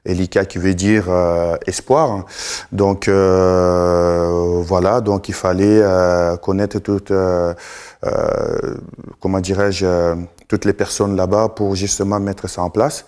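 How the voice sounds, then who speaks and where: A middle-aged man from Congo, a guest in a TV studio, answering slowly with many fillers and restarts. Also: deep voice, flat delivery, a little mellow, smooth. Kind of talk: live TV interview.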